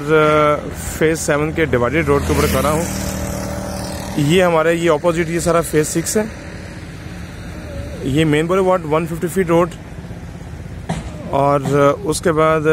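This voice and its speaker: Pakistani guy narrating plot prices from his car, loud road hum behind, chatty with lots of uh pauses. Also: on the high side. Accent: Pakistani Urdu. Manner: informal, matter-of-fact, pausing with fillers. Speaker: real estate dealer giving a site tour. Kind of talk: casual property tour commentary. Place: in a car driving through open roads. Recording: road noise from a moving car. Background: car and road noise.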